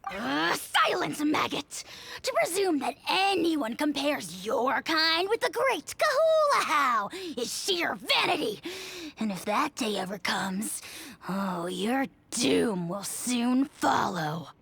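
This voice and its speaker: A woman's voice: high-pitched, sing-song, slow pace, gravelly, fairly bright tone, slightly rough, exaggerated voice. A woman voicing a small, arrogant, pompous spirit creature with bombastic, menacing outbursts in an anime game, with music behind. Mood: haughty threat.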